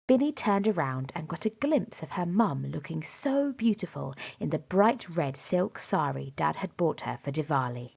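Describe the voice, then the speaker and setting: A woman reading a children's storybook aloud in an expressive, dramatic way, softening to a hush at times. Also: low voice, gravelly, slightly rough. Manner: animated, dramatic delivery with softened hushed moments. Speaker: storybook reader. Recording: music behind the voice. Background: music and story sound effects.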